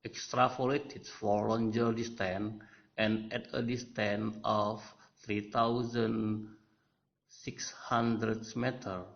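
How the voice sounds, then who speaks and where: A man with an Indonesian accent reading a scripted academic presentation in halting phrases. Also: on the low side. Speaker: researcher presenting a paper. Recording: dull lo-fi voice-over.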